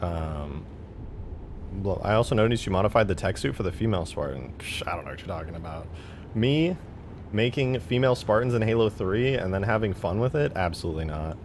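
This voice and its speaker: A man's voice: lowish voice, lively intonation, a little fast, slight background noise. A man livestreaming in a casual, slangy way, reading out viewer comments and talking with self-deprecating bluntness and some swearing. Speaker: live streamer.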